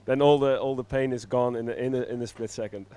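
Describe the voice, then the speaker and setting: A man's voice: medium pitch, steady tone, a little fast, mellow. A man speaking as a studio guest in a casual live interview, easygoing, feeling out his phrases piece by piece.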